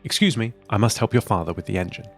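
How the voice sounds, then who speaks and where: A man's voice: lowish voice, fast talker, over music, natural voice. A man voicing a practical, matter-of-fact mother who runs a family train. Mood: brisk.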